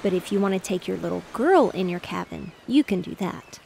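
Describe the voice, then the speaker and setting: A woman reading aloud, voicing an excitable, bossy young boy who plays ship captain. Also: fairly low pitch, a little fast, creaky, a little hiss or hum, poor audio. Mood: eager. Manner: eager and insistent.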